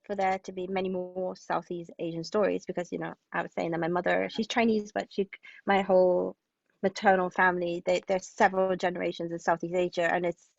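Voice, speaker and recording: A woman from Hong Kong speaking as an interview guest, thoughtfully, feeling her way through halting, repeated phrases. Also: on the low side, level tone, gravelly, no room sound, highs cut off. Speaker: guest, playwright and historian. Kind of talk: thoughtful podcast interview conversation.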